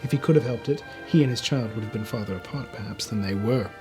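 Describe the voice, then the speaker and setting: A man's voice: on the low side, fast talker, music in the background. A man narrating a classic story as a storyteller, reading expressively from a script. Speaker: storyteller, reader.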